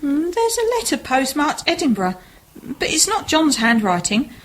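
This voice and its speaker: Woman acting high-pitched radio play heroine. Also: big pitch swings, normal speed, rough recording. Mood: anxious. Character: married village-mystery heroine, gentle, dryly teasing. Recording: amateur community radio recording.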